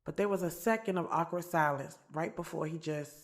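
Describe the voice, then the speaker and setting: A woman's voice: low voice, fairly quick. A woman playing a sassy, candid young woman in an audio drama, telling her story in a casual, slangy way, swearing freely. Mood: playful, assured. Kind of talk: audio drama first-person narration and dialogue. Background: music.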